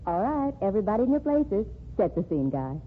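An actress playing a brisk, sharp-tongued woman reporter in an old radio drama. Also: mid-range voice, very animated, soft background music, close to an everyday voice. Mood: spirited. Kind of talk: 1940s radio acting. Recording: tinny lo-fi old radio recording with faint hiss.

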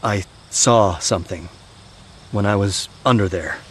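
An actor playing a weary, haunted middle-aged soldier and gentle father in an audio drama, halting and confiding. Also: fairly low pitch. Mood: haunted, hesitant. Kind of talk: naturalistic audio drama acting. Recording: steady outdoor night-insect noise.

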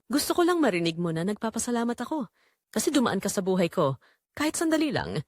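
A woman voicing a quiet, remorseful husband, earnest and tender, in an audio drama. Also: medium pitch, wildly swooping pitch, fast talker, dry recording, clean studio sound. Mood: earnest regret. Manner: earnest, apologetic.

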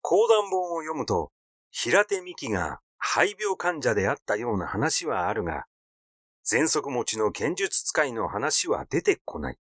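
A man reading literary fiction aloud as a narrator, in a measured storytelling delivery. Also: mid-range voice, sing-song, fairly bright tone, no room sound, clean studio sound. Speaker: audiobook narrator.

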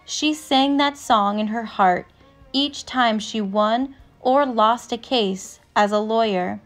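A woman narrating a picture book aloud with measured, deliberate phrasing. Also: a little high, soft background music. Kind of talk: storybook read-aloud, scripted narration.

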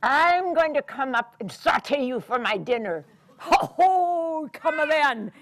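A woman voicing a gruff, threatening male troll in a storybook tale, loud and menacing. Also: lively intonation, slow pace, heavily acted. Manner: booming and threatening.

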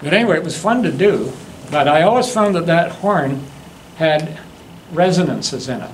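A man in his seventies giving an informal demonstration talk, halting and anecdotal as he rambles along. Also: a little high, animated, rough recording. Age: 70s. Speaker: guest demonstrator and hobbyist. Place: classroom lecture room. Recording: faint room noise.

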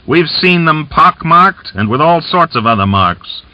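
Man playing an arrogant rebel commander, roaring threats, raised and loud, dry dull sound. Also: on the high side, lively intonation, a little slow, no echo, pitched above the performer's own voice. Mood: blustering.

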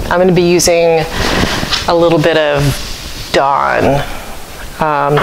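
A woman giving a casual hands-on tutorial, thinking aloud in an unhurried way as she works. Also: low-pitched. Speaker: craft vlogger demonstrating fleece washing. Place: home kitchen. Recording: clear background noise, household ambience.

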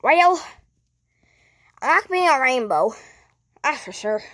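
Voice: high voice, big pitch swings, slow, fairly bright tone, dry recording, clean studio sound, full character voice. A child voicing a big, touchy rainbow sludge monster in a cartoon skit. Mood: laid-back.

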